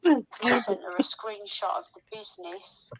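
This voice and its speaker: A woman's voice: a little high, sing-song, measured pace, vocal fry, crowd noise, rough recording. A woman talking casually, recounting gossip in a sweary, complaining manner.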